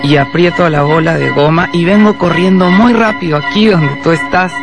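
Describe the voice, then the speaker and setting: A man's voice: on the high side, normal speed, natural voice. An actor playing a naive, eager, simple-minded young man in a radio drama, speaking in a trusting, childlike way. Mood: eager, repetitive. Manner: childlike, literal, repeats instructions. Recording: loud music bed under the voice.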